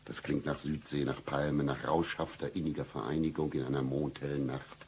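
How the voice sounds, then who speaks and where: An actor playing a broke, seedy private detective in a satirical radio play, with florid flirtatious patter and deadpan humour. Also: male voice, a bass voice, brisk, a little hiss or hum. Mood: florid, seductive.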